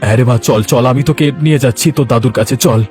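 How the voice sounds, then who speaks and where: A man's voice: mid-range voice, rapid-fire, a little mellow, faint music behind, natural voice. A man acting a kind, upright village uncle in a cartoon. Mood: tender, consoling. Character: kind, morally upright village uncle, exasperated with greedy wife.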